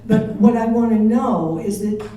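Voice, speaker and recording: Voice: medium pitch, measured pace, crowd noise. An interviewer host asking leading questions and joking along with guests. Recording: very echoey, distant, faint hiss.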